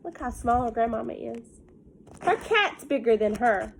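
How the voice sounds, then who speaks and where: A woman teacher reading a picture book aloud to young children, with simple, encouraging asides and stressed, drawn-out storytelling phrases. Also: a little high, lively intonation, gravelly, a little hiss or hum.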